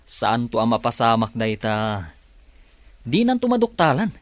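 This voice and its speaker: A man's voice: medium pitch, big pitch swings, unhurried, no room sound. A man playing a scheming, gloating plotter in a radio drama, sly and mocking. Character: scheming, gloating male plotter after a mansion.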